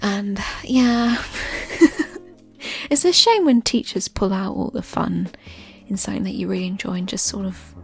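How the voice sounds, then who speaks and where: Soft, breathy woman in ASMR chat. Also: medium pitch, animated, a little slow. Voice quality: soft, breathy, often near-whispered. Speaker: ASMR creator answering listener questions. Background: music.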